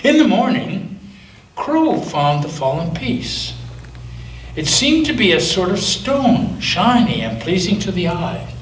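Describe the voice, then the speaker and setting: A male pastor reading a picture book aloud to children, gently and with slow dramatic pauses. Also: high-pitched, very animated. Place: church sanctuary. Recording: echoey church from a distance, faint noise.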